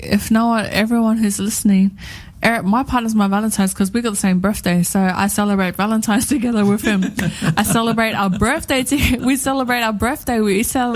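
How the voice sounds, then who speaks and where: A woman in her thirties co-hosting a radio chat show, talking in a rambling, heartfelt way and repeating herself often. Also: a little high. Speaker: radio co-host.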